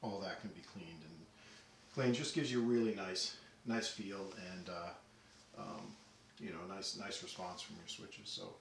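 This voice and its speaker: A man giving an unscripted technical walkthrough, explaining things in a halting, methodical way. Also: low voice, vocal fry. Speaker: audio deck restorer demonstrating work. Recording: roomy, distant mic, faint noise.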